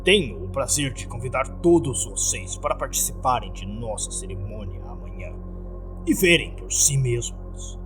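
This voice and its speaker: A man voicing a smug, menacing male cult leader in a horror story, with a slow, pompous, deliberate delivery. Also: medium pitch, animated, music in the background, lightly acted. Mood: mocking, falsely cordial.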